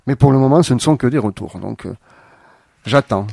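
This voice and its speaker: A man guesting on a radio show, answering thoughtfully with frequent pauses and fillers. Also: medium pitch, gravelly, mellow, no room sound, clean studio sound. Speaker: playwright guest. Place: radio studio.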